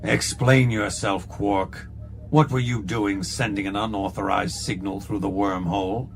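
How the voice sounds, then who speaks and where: A man's voice: lowish voice, fairly even intonation, a little slow, creaky. A man voicing a stern male station commander who questions sharply, in an audiobook with music behind.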